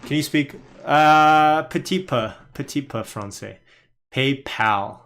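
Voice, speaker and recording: A man's voice: medium pitch, slow, a bit bright. A young man from Canada hosting a casual live stream on the stock market, chatting and laughing as he reads viewer comments aloud. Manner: chatty, laughs while reading chat aloud. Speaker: livestream host and day trader.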